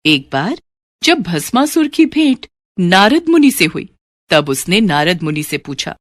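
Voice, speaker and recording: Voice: mid-range voice, sing-song, a little slow, a bit bright, no room sound, clean studio sound. A narrator reading a scripted devotional myth story.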